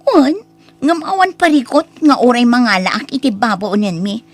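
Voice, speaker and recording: Woman doing a headstrong young wife, ilocano accent, highish voice, swings around a lot, drama acting. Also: normal speed, faint music behind. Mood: complaining. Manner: expressive, complaining.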